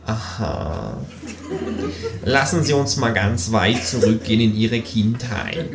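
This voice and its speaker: A man doing a flat, murmuring psychiatrist. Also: on the low side, takes it slowly, gravelly, a crowd in the background. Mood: detached. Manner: quiet, flat, detached. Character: dry, monotone male psychiatrist. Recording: roomy hall with audience.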